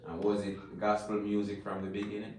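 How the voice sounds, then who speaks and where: A man's voice: fairly low pitch, monotone, brisk, crowd noise. A man hosting a casual livestream interview, asking questions in a laid-back, slangy way. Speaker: interviewer host. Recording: echoey room, distant mic, faint noise.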